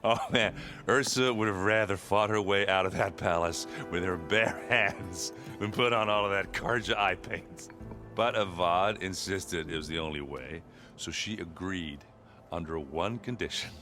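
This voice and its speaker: An actor playing a hearty, boisterous warrior who tells stories in a folksy, bashful, chuckling way in a video game. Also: male voice, low-pitched, a little slow, music in the background. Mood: animated, amused. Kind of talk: video game cutscene acting.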